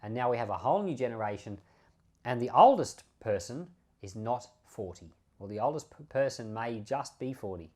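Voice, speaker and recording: A man's voice: medium pitch, a little mellow. A man speaking as a pastor, explaining scripture in an informal, conversational way and stressing key words by repeating them. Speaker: pastor and podcast host. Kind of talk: informal Bible teaching commentary.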